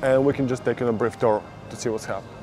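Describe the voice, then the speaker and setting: A man's voice: medium pitch, fairly even intonation, rapid-fire, darkish tone, faint music behind. A man hosting a casual walkthrough of a conference venue outdoors, chatty and upbeat. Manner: upbeat, chatty tour-guide delivery. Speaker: conference reporter and host.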